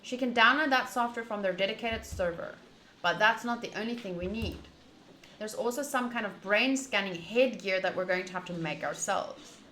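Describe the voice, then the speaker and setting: A woman's voice: mid-range voice, moderate pace, pushed and bright. A woman streamer doing a casual game playthrough, reading text aloud and commenting as she goes. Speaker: streamer playing a visual novel. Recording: roomy echo, faint background noise.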